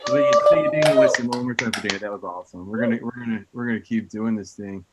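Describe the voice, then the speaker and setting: A woman's voice: a bass voice, huge pitch swings, racing, very noisy. A woman hosting an online comedy open mic, joking loosely and stumbling over names, heard on a video call. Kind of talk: casual emceeing.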